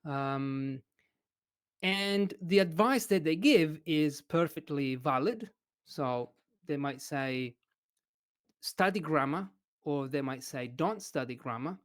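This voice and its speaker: A man with an Italian accent talks unhurriedly as a guest, in a fairly high and very clear voice. He hesitates and restarts often, and his voice rises and falls with feeling. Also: slow. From Italy. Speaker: English teacher and writer, podcast guest. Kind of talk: casual interview chat.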